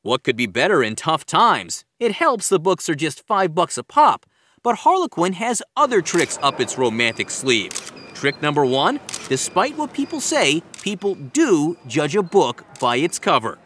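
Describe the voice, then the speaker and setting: A man's voice: fairly high pitch, wildly swooping pitch, measured pace, fairly bright tone, a little hiss or hum. A man reading a scripted news feature as a reporter, with wry, playful delivery. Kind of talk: scripted narration.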